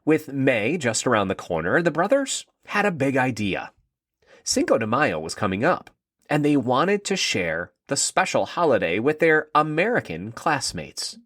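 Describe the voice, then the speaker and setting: A man narrating a scripted story for children in an expressive read-aloud style. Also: mid-range voice, moderate pace, no room sound, clean studio sound.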